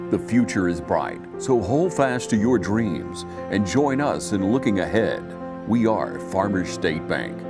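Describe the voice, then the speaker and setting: A man narrating a scripted bank commercial as its announcer. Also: deep voice. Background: guitar music.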